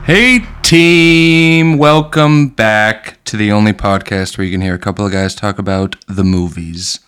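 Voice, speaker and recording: A man's voice: fairly low pitch, measured pace, a bit bright, slight background noise. A man hosting a casual movie podcast, joking loosely and swearing freely. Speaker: podcast host. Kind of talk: casual chat about movies.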